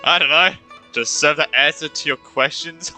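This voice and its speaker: A man's voice: fairly high pitch, moderate pace, pushed and bright, poor audio. A man playing a video game, chatting casually and talking back to the characters. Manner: reacts aloud, talks back to characters. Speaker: gamer commenting on gameplay. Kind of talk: casual let's play chat. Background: game music.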